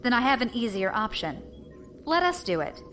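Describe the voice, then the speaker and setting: A woman's voice: fairly high pitch, big pitch swings, moderate pace, a bit bright, soft background music. A woman playing a defiant, commanding rebel fleet leader in an audio drama. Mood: shrewd. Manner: commanding, composed.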